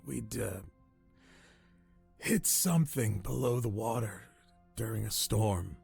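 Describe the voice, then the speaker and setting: An ancient, dying castaway in a radio drama. His voice is extremely gravelly and raspy, dragging very slowly through solemn, old-fashioned phrases. He sounds weak, weary and haunted. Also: on the low side, a little mellow, faint music behind, heavily acted. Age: older.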